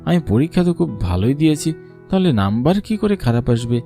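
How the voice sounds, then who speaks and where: A man's voice: medium pitch, very animated, quick pace, dark tone. A man voicing a scheming but easily alarmed village schoolboy in a horror cartoon. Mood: calm, scheming. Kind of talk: dubbed horror cartoon dialogue. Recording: music under the voice.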